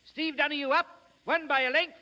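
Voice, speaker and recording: A man playing a brisk, courteous music-hall memory performer in 1930s radio acting style, rattling off facts in formal stage patter. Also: very high voice, steady tone. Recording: muffled 1930s radio broadcast, noisy crowd.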